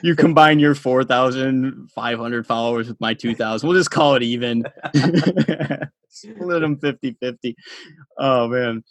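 A man's voice: medium pitch, unhurried, dry recording. Chatty laughing man hosting a podcast. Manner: chatty, laughing, filler-heavy. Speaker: podcast host. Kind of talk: casual podcast interview.

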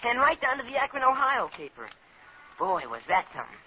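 A man's voice: very high voice, a little fast, phone-quality sound, exaggerated voice. A young male actor playing an eager, cocky teenage boy who idolises his crook father, in a 1950s radio crime drama. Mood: admiring, excited. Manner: excitable, boastful, slangy. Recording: hissy, band-limited old radio broadcast.

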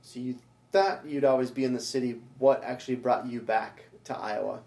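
A man's voice: mid-range voice, fairly even intonation, moderate pace, dark tone. Upbeat young man hosting a church interview. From Minnesota. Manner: upbeat, promotional, repeats words. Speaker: church staff host. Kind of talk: casual church announcement interview.

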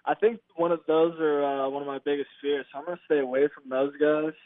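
A man's voice: on the high side, level tone, quick pace, no echo. A man in his twenties from California, giving a casual, laid-back interview as a guest over the phone. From California, United States. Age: 20s. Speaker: baseball player, interview guest. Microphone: phone call. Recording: tinny narrow phone line.

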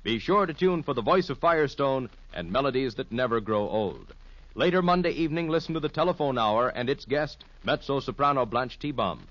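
A man's voice: mid-range voice, fairly bright tone, no room sound. An American man reading a scripted 1950s radio promo as a formal, polished network announcer. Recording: dull, dry old radio recording.

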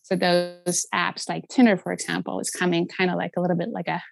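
Swedish woman, gravelly fry in her voice, talks a lot with likes, dry close sound. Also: on the low side, little pitch movement, a little fast, no room sound. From Sweden. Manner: earnest and chatty, many filler likes. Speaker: guest, app founder. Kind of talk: conversational interview.

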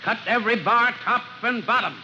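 Fire captain, male, high voice, brisk and bossy, old radio drama; muffled, noisy 1940s recording. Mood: brisk, commanding. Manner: barks short practical orders. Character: commanding fire captain, brisk and gruff, adult man.

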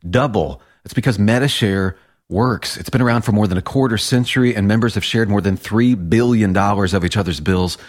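A man's voice: fairly low pitch, brisk. A man speaking conversationally as the spokesman in a radio advertisement.

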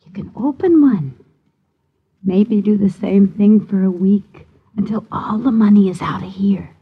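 An actress playing a timid, scheming wife who stammers and repeats herself in uneducated speech, in a 1950s radio drama. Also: female voice, medium pitch, measured pace, slightly rough. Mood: hushed scheming. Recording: dull, muffled vintage radio recording.

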